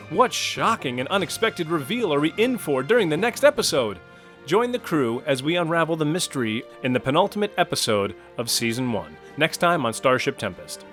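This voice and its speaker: A man's voice: on the high side, a bit bright. A man reading a scripted podcast outro in a playfully dramatic way. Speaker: podcast announcer and player.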